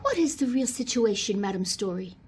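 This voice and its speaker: A woman's voice: mid-range voice, expressive, a little fast, lightly acted. A woman acting a worried, inquisitive well-bred lady in an amateur radio mystery play. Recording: noisy home recording with clicks.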